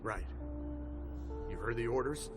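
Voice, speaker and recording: A man's voice: medium pitch, lightly acted. Guy playing veteran police chief in a game, weary but bossy, middle-aged, music going behind him. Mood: frank, resigned. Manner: authoritative, deliberate. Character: weary, seasoned male police captain, gruffly commanding. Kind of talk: video game cutscene voice acting. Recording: music under the voice.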